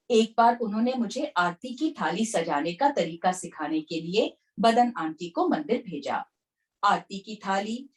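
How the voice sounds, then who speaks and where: A woman reading aloud from a devotional book in an expressive narrating manner. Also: medium pitch.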